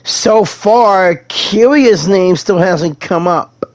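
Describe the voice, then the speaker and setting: A man's voice: high-pitched, very slow, crowd noise. A man giving casual, laid-back, reactive gameplay commentary with mild swearing. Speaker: game streamer.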